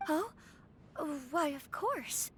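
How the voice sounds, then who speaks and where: High, lilting, genteel noble lady. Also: animated, very slow, fairly bright tone, a little hiss or hum, exaggerated voice. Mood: impressed. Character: polite, genteel, sweetly attentive young noble lady. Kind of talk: scripted video game voice acting.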